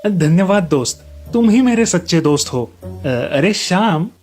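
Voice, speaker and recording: A man's voice: sits high, expressive, moderate pace, a little mellow, faint music behind. A man playing an envious village neighbour who puts on friendliness in a children's story cartoon. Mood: falsely friendly. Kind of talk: Hindi children's moral story cartoon dub.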